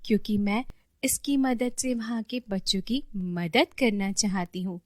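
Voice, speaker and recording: A woman voicing a kind, helpful fairy in a children's storybook tale, soft and reassuring. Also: mid-range voice, measured pace, dry recording, clean studio sound, close to an everyday voice. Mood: polite. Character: kind, gentle, helpful young female fairy.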